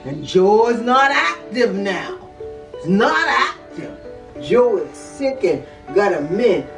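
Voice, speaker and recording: An older woman telling a story in an animated, dramatic way, repeating words and phrases as she goes. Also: mid-range voice, slow. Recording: roomy echo, distant mic, music behind.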